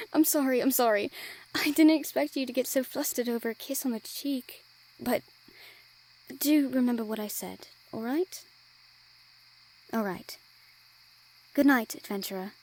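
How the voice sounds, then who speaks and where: A woman playing a friendly female merchant in a fantasy audio drama, gently teasing, caring and encouraging as she talks to the listener. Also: on the high side, unhurried, pauses often, poor audio. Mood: tender.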